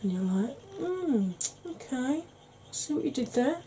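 A woman in her forties with a Welsh-tinged northern English accent, talking slowly and softly with wry humour while doing her makeup. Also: sits high, big pitch swings, a little hiss or hum. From Wales and Yorkshire. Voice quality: soft-spoken, slow, wry. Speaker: makeup vlogger. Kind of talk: soft-spoken makeup tutorial chat.

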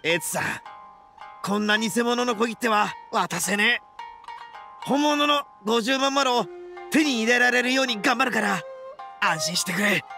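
An actor voicing a brash, boastful young male oni gang boss in a game, with rough, swaggering, melodramatic speech. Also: extremely high pitch, animated, measured pace, long pauses, pushed and bright, faint music behind, full character voice. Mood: anguished resolve. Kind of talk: Japanese game voice acting.

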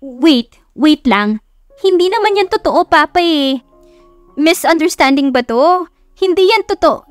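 A woman's voice: sits high, lively intonation, a little slow, no echo, soft background music, voice pushed up. A woman voicing a flustered, shocked young daughter in an audio romance story, with startled, questioning exclamations. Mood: shocked, panicked.

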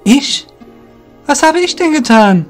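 A man puts on a proud young male rogue in a fantasy audio drama, pitched well up. He sounds earnest, principled and firm as he speaks up for himself. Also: sing-song, slow pace, soft background music, exaggerated voice. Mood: hurt, puzzled. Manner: firm, friendly, principled, forthright.

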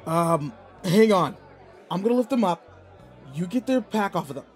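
A man's voice: sits high, animated, normal speed, faint music behind, some chatter behind. A man playing a brusque, anxious young student bully who secretly cares, in an audio roleplay drama with emotional delivery. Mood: panicked, urgent.

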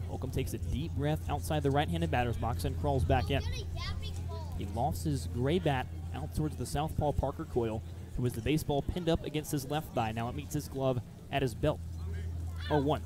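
A man's voice: medium pitch, moderate pace. A man calling live baseball play-by-play for radio, mixing clipped phrases with detailed description.

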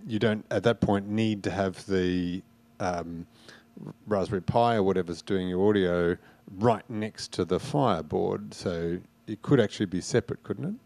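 A man with a low voice asks a question from the audience. His phrasing is casual and tentative as he thinks aloud. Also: normal speed, vocal fry, a little mellow. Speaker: audience member asking a question.

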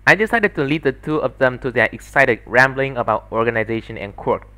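A man's voice: medium pitch, lively intonation, fairly quick, bright, forceful tone. A man giving scripted narration for a solo fandub of a story.

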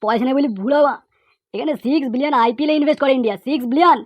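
A woman's voice: sits high, very fast, no room sound, muffled recording, close to an everyday voice. A woman voicing an outspoken cartoon pupil, lecturing emphatically with repeated phrases and rhetorical questions. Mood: indignant, preachy. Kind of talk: cartoon comedy monologue.

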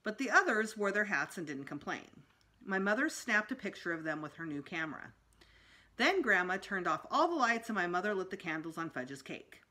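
A woman's voice: mid-range voice, sing-song, moderate pace, bright, forceful tone. A middle-aged woman reading a children's book aloud expressively, as for a school storytime. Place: small indoor room at home.

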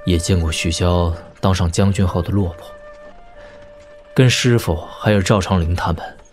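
A man acts out a young lord of a martial-arts audio drama with a deep voice. He is a brooding elder brother, weary yet tender. His delivery is measured and heavy-hearted, with faint music underneath. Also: darkish tone, lightly acted. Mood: sorrowful, reflective. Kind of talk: audio drama dialogue in Mandarin wuxia serial.

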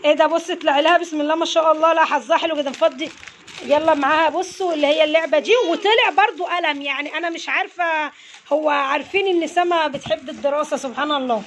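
امرأة مصرية سريعة الكلام بصوت عالٍ. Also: female voice, high-pitched, quick pace, a little hiss or hum. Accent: Egyptian Arabic. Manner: chatty, coaxing the kids along. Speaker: channel host. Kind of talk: casual unboxing chat with children. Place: home, indoors, family around. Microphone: phone held by hand.

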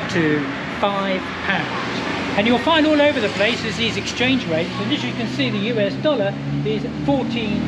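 Man in his fifties, travel vlogger, high-pitched, loud outdoor noise behind. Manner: chatty and enthusiastic. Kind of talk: casual travel vlog chat. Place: outdoors on a tropical island street.

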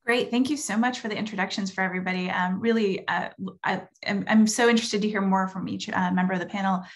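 Woman moderating a panel, chatty, asks the questions, normal mid pitch. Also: steady tone, fast. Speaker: panel chair. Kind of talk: informal moderating.

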